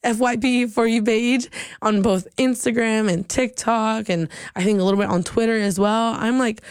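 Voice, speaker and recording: A young woman hosting a college radio show, chatting casually and rambling upbeat, her voice slightly hoarse and giving out. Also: on the high side, fairly even intonation, moderate pace, no echo, clean studio sound. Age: young adult. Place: radio studio booth. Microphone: studio microphone.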